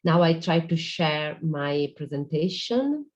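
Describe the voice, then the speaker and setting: A woman's voice: low-pitched, sing-song. A woman giving a formal, polite conference talk over a video call. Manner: careful, polite, measured delivery. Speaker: conference speaker. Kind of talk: formal online presentation. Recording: dull lo-fi video call audio.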